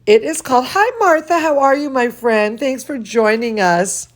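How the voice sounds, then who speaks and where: A woman's voice: sits high, normal speed. A woman hosting a children's bedtime story livestream, gushing and exclaiming as she chats to young viewers between bits of reading. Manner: gushing, exclamatory, addresses children and pet directly. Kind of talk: storybook read-aloud mixed with chatty asides to young viewers.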